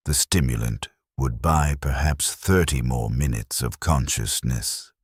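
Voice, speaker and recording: A man narrating a scripted first-person dramatic story in a grave, measured way, with short clipped sentences. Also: rumbling low, slightly rough, no room sound, clean studio sound.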